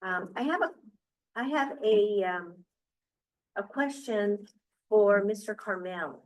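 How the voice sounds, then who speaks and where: A woman's voice: mid-range voice, animated, takes it slowly, far from the mic, low-quality recording. A woman presiding over a community board meeting, her speech broken by frequent ums and small restarts. She keeps things moving in a plain, conversational way from the head of the table. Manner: hesitant, many ums and restarts. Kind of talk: chairing a public board meeting. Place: community services district meeting room.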